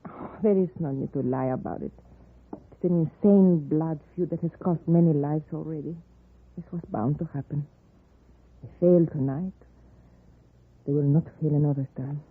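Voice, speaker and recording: An actress playing an anxious, fatalistic wife in a formal, halting radio drama style. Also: female voice, sits low. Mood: bitter, resigned. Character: anxious, fatalistic Mexican wife of a wounded don. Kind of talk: 1950s radio drama acting. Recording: muffled, rough old radio recording.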